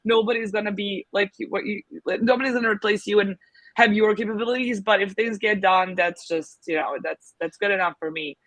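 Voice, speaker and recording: Interviewgast: Frau mit serbischem Akzent, heller Klang, viele Füllwörter, trockene Aufnahme. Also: female voice, medium pitch, steady tone, brisk, a bit bright, dry recording. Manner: many fillers, thinks aloud. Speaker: agency founder, guest. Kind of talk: casual interview talk.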